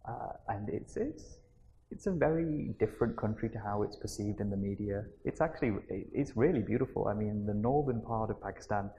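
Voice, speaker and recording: A young man talks loosely as a guest, rambling and restarting his sentences. His voice has a gravelly fry to it. The recording sounds dull and lo-fi, with a faint hiss underneath. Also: fairly low pitch, a little fast, warm tone. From Manchester, England. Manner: chatty, rambling, with frequent fillers and restarts. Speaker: interview guest. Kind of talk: casual conversational interview.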